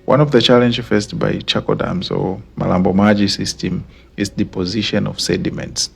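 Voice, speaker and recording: A man from Tanzania giving an earnest, explanatory narrated slideshow lesson, often repeating points to make them clear. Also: lowish voice, steady tone, normal speed. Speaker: educator explaining water engineering.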